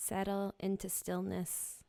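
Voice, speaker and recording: A woman guiding a yoga nidra body scan in a gentle, soothing, unhurried manner with short, spaced phrases. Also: mid-range voice, completely flat delivery, gravelly, dry recording. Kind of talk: guided meditation, slow body scan.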